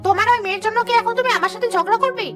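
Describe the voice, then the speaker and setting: Cartoon villainess: woman as a nagging stepmother, high and fast. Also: over music. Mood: scolding. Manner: sharp, sarcastic, scolding. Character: harsh scolding stepmother, middle-aged woman. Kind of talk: cartoon dubbing.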